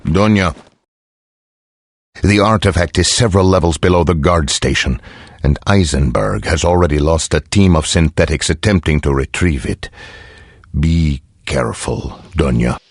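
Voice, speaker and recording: A man playing a calculating, composed general in a video game cutscene, speaking in a formal, measured, authoritative way. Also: rumbling low, no echo, studio quality. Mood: grave, cautioning. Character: calculating, composed male general giving covert orders.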